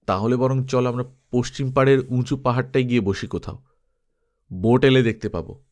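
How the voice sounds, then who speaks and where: A man's voice: medium pitch, fairly even intonation, a little fast, a little mellow, natural voice. A man voicing a commanding adventurer who gives urgent orders to his group in an audiobook story. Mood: calm, decisive.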